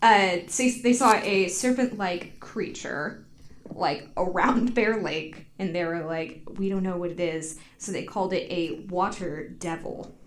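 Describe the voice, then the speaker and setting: A woman's voice: fairly low pitch, a little slow, a little hiss or hum. An American woman telling a story casually on a podcast, informal and giggly, peppering her talk with "like". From United States.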